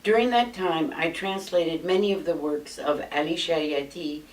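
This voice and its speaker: An American woman in her eighties reads her own life story aloud from notes in a measured, steady way, seated in a small indoor room. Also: low voice. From United States. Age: 80s. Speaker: memoir narrator. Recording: roomy sound from a distant mic.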